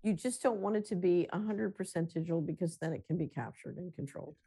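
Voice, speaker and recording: A woman guest on a remote interview, explaining her ideas in a measured, conversational way with occasional restarts. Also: fairly low pitch, mellow, breathy edge. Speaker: guest, runs a financial company.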